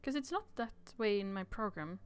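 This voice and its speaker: A woman's voice: mid-range voice, big pitch swings, a little fast, smooth. A woman chatting and thinking aloud hesitantly while she plays a game demo on a livestream. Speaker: streamer playing a demo, guest. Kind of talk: livestream playthrough chat with read-aloud dialogue.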